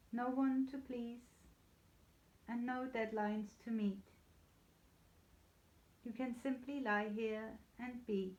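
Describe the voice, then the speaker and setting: A woman leading a guided yoga nidra meditation in a soothing, unhurried, gently reassuring way. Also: fairly high pitch. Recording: slightly dull, echoey room sound.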